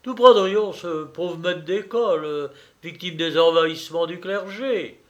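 Man playing a persuasive, scheming lawyer, higher-pitched. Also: a little slow, dull audio.

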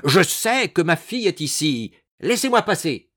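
A stout, domineering mother from a storybook, acted out by a man. The voice is raised and strident, swinging widely in pitch. Her manner is imperious and indignant, in a close, dry recording. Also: big pitch swings, racing, bright, forceful tone, no room sound, exaggerated voice. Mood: indignant, insistent. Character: loud, indignant, stout bossy matron, pushy mother. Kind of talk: dramatized storybook reading.

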